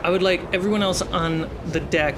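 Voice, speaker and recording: A game master narrating a tabletop adventure dramatically, with deliberate, suspenseful pauses. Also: mid-range voice, fairly even intonation, background noise.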